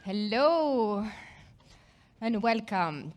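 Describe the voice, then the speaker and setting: Woman, Greek accent, higher voice, conference presenter, chatty, fillers and restarts. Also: animated, drawn-out words, background noise. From Greece. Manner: chatty, self-deprecating, with frequent fillers and restarts. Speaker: product marketing manager presenting. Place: conference stage.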